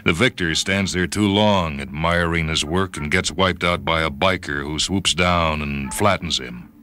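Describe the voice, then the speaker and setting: A tough, swaggering male athlete tells his own story , as a man reads him for radio. The voice is low and hard-edged, intense and boastful, with faint music behind it. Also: unhurried, sharp tone. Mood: boastful, driving. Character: tough, boastful male star player of a brutal sport. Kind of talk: dramatic radio short story reading. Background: background music.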